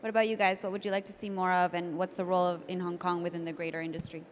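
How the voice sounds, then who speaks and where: A woman's voice: fairly low pitch, level tone, rapid-fire. A woman moderating a conference panel, asking questions in a casual, upbeat way. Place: conference stage. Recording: echoey conference hall with faint noise.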